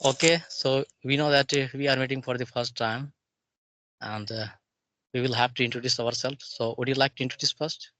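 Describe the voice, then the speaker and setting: A man with an East Indian accent practising English conversation in a formal, deferential and somewhat halting manner. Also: medium pitch, fairly even intonation, pushed and bright, dry recording, low-quality recording. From Jharkhand, India.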